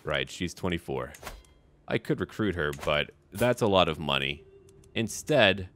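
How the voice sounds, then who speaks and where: A man's voice: low-pitched, animated, measured pace, fairly bright tone, slight background noise. A man giving casual commentary on his strategy game playthrough, talking to himself and to the characters in short clipped remarks. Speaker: gaming video creator narrating his own playthrough.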